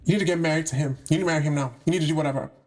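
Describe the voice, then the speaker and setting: Chatty young man, high-pitched voice. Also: rapid-fire. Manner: chatty and slangy, with playful asides. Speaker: spiritual content creator. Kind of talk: casual monologue to camera.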